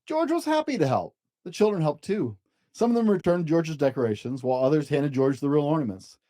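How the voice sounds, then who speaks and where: Animated, mellow children's storyteller. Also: medium pitch, fairly quick. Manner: animated, gentle, addressing young children. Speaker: storyteller reading a children's book aloud. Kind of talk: expressive children's picture-book narration.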